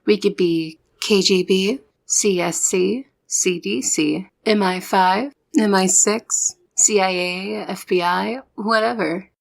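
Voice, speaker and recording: A woman's voice: medium pitch, steady tone, takes it slowly, gravelly, hardly any pauses, rough recording. A woman playing a young trainee secret agent in an audio roleplay, the good cop who reasons and coaxes. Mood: coaxing. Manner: persuasive, reasoning, exasperated with partner. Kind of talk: ASMR roleplay audio drama.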